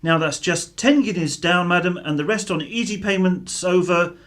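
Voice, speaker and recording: A man's voice: sits high, moderate pace, a bit bright. An actor playing a suspicious, sarcastic middle-aged British husband and father in a radio drama. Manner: sneering, mock-salesman sarcasm.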